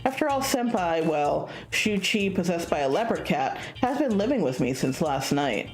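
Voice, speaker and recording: A woman streamer reading a visual novel aloud in a casual, expressive narrating style. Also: fairly low pitch, normal speed.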